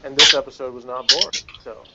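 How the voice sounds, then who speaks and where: A man's voice: mid-range voice, fairly even intonation, quick pace, heavy background noise. A man co-hosting a review show in casual, blunt, teasing chat over a lo-fi online call. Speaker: co-host of a TV episode review show. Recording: dull, lo-fi online call audio.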